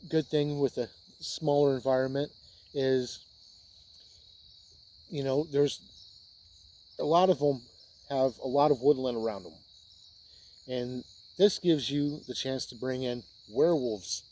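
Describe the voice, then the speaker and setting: An American man with a dark, relaxed voice at a middle pitch talks casually as a video host. He explains his ideas in short, halting phrases, pausing between them. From Indiana, United States. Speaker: host of a tabletop role-playing video channel. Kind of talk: casual explainer talk to camera.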